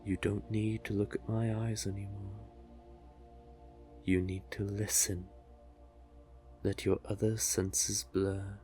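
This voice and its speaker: A seductive merman sea witch, played by a man, speaks in a low voice at a very slow, deliberate pace. He is doting and manipulative, coaxing and soothing the listener as in a hypnotic roleplay. Music plays softly behind him.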